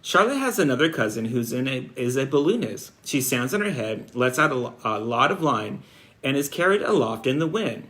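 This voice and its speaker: A man reading aloud as an earnest, imaginative little farm girl in a storybook, insistent and excited as a storyteller. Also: mid-range voice, fairly quick. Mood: defiant, excited.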